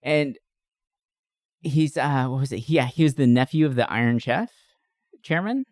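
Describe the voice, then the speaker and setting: A man's voice: mid-range voice, moderate pace, a little mellow, no echo. Casual, enthusiastic man chatting, dry close sound. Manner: enthusiastic, informal, swears casually. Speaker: podcast co-host. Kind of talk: casual chat about movies.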